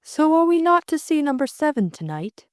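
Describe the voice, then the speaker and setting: A woman's voice: sits high, big pitch swings, normal speed, no room sound, studio quality, pitched above the performer's own voice. A woman voicing a poised, mysterious member of a secret society in an audiobook, questioning in a theatrical way. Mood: coolly probing.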